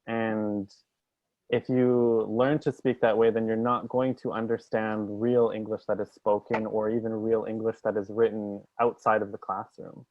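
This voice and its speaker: A man in a casual discussion, talking in a thoughtful, measured way and hedging his points. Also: fairly low pitch, flat delivery, no echo. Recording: tinny phone-like call audio.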